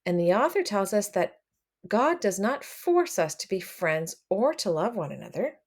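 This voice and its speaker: A woman reading a picture book aloud to children, expressive and addressing them directly as she comments along. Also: medium pitch, moderate pace. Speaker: read-aloud storyteller for children.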